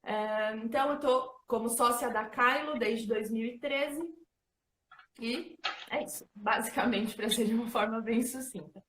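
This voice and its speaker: A woman in her thirties with a São Paulo accent, speaking in a somewhat high voice. She talks chattily, filling her sentences with little tags. The room around her echoes. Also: a little slow, a bit bright, a little hiss or hum. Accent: São Paulo Portuguese. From São Paulo, Brazil. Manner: chatty, many fillers like "né" and "então". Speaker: host and facilitator. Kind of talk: casual presentation talk.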